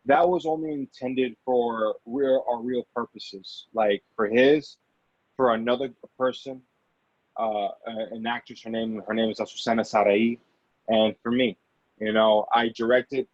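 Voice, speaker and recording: A man talks casually in an interview, often circling back to repeat and reword his phrases. His voice sits at an average pitch. The recording is close and dry but somewhat dull and lo-fi. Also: no echo. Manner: halting, repeats and rephrases himself. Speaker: actor and amateur producer, interview guest. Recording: dull, lo-fi audio.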